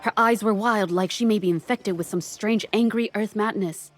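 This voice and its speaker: A woman's voice: mid-range voice, normal speed, faint music behind, close to an everyday voice. An actress playing a tense, dutiful woman scout in a fantasy video game, speaking urgently and earnestly. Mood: anxious, cautioning. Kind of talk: dramatic video game character acting.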